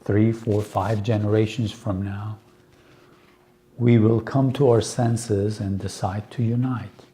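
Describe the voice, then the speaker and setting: Slow-speaking man, dark low voice. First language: Farsi. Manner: slow, earnest, hopeful, with many pauses and fillers. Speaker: teacher. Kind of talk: slow lecture for English learners.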